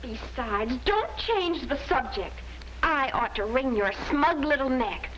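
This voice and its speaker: An actress playing a gushing, hot-tempered American teenage sister in a 1950s sitcom-style film. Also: female voice, high voice, animated, slow pace, fairly bright tone, full character voice. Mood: indignant. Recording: noisy vintage 1950s film soundtrack.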